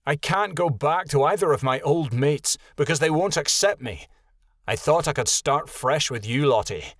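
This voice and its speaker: A man's voice: fairly high pitch, animated, moderate pace, dry recording, voice pushed up, close to an everyday voice. A man voicing a cowardly, defensive young male wolf outcast in a whiny voice. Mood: pleading.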